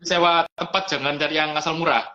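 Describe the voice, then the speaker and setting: A man talks through his business in a relaxed, chatty way, slipping English terms into his sentences. His voice is bright and forceful, and it is sitting a little high for a man. The sound is dull and lo-fi, like a live stream. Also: fast. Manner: easygoing, mixes in English business words. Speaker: restaurant owner, guest. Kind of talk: casual interview chat.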